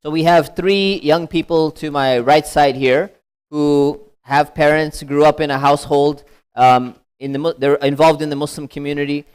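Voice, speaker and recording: A man's voice: on the high side, fairly even intonation, moderate pace, darkish tone, clean tone. Man moderating a panel, Arabic blessings. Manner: conversational, sprinkles Arabic blessings, probing questions.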